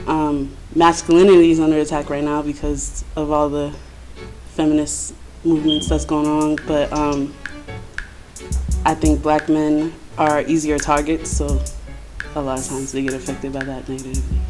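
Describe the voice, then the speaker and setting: A woman's voice: low voice, flat delivery, moderate pace, a little mellow, faint music behind, low-quality recording. A woman in her thirties from Boston, answering an interview casually with some hesitation. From Boston, Massachusetts. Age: 30s. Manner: hesitant with fillers.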